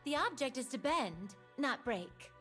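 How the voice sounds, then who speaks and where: A woman's voice: high-pitched, big pitch swings, unhurried, fairly bright tone, faint music behind, exaggerated voice. A woman voicing a sassy, playful young heroic mage in a video game, with theatrical delivery. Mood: airy, lofty. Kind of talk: video game champion voice lines.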